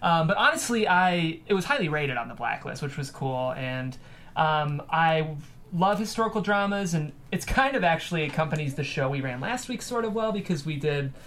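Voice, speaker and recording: A man's voice: fairly high pitch, animated, moderate pace, a bit bright. A man hosting a script table-read show in a casual, chatty style. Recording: background noise, room ambience.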